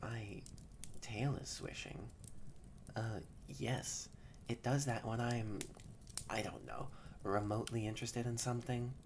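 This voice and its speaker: A woman voicing a haughty young male catboy who is secretly soft-hearted, bratty and bossy but easily flustered, in a scripted roleplay audio drama spoken to the listener. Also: rumbling low, a little slow, long pauses, background noise. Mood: haughty, curious.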